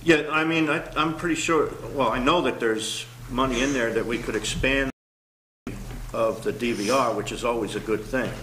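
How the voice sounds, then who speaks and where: A man's voice: mid-range voice, steady tone, moderate pace, a bit bright, a little hiss or hum. A man at a town meeting giving a practical explanation of security equipment. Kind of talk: meeting discussion. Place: town hall meeting room. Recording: echoey room with people around.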